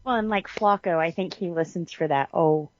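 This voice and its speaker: A woman's voice: on the low side, normal speed, muffled recording, slight background noise. A woman co-hosting a podcast, chatting casually with folksy, informal grammar. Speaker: podcast co-host. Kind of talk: casual chat.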